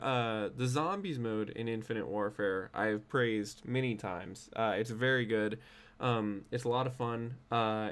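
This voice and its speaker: A man's voice: on the low side, clear, resonant tone. A young man with a stuffy nose, chatting casually and rambling with many fillers while he streams a game. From United States. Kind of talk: casual gaming stream chat.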